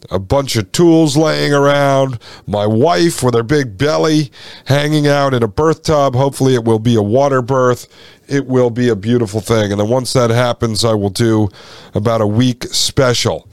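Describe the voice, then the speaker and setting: A man's voice: medium pitch, smooth, no echo, studio quality. A man hosting his podcast, a little stuffed up from a slight cold, chatting directly to his listeners. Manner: chatty, addresses listeners as folks. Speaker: podcast host. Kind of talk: casual podcast monologue.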